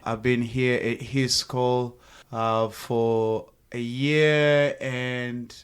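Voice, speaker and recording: A man's voice: mid-range voice, little pitch movement, very slow, clear, resonant tone. Earnest Zimbabwean man on a podcast. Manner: earnest, grateful, joking at times. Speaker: sales team guest. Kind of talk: casual podcast interview.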